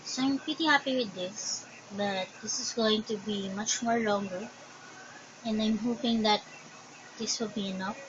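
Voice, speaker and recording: Filipino woman, crafting vlogger, unhurried and hesitant, dull home recording with steady hiss. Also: medium pitch. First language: Tagalog. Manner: slow, hesitant, thinking aloud. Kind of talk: casual show-and-tell. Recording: dull lo-fi sound with background noise.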